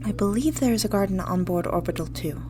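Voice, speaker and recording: A woman's voice: mid-range voice, lively intonation, fast talker, close to an everyday voice. A woman playing a composed, helpful starship officer in a sci-fi radio drama, speaking precisely and formally. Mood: matter-of-fact. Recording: clear background noise and hum.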